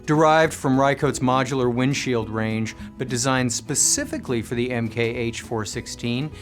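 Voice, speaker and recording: A man presenting a scripted product review, explaining things with clear enunciation. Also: mid-range voice, moderate pace, over music. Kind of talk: scripted product review narration with live demo commentary.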